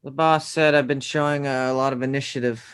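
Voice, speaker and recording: Male actor as hapless dreamer, aspiring writer, theatrical, excitable comedy character, screenplay table read. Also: medium pitch, fairly quick, clear, resonant tone, natural voice. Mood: hesitant. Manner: theatrical, swinging between gushing and bleak.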